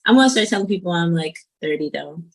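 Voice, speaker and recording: 30s woman podcast host, chatty, kinda low voice, self-deprecating. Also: lively intonation, fast talker, gravelly. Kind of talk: casual podcast interview.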